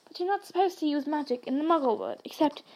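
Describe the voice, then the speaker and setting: Gruff kindly giant gamekeeper, read by a woman; hearty, raised, fairly high. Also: animated, quick pace, a little mellow, poor audio.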